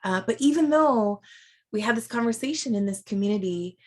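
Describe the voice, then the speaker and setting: A woman moderating a virtual panel, speaking in a conversational, reflective way with soft fillers over a video call. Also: fairly high pitch, lively intonation, brisk.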